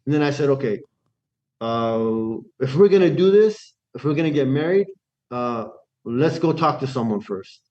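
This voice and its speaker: A man from Iran telling his life story in a casual interview. He talks in an animated way, restarts his sentences and acts out the dialogue he quotes. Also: medium pitch, moderate pace, lo-fi sound. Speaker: guest.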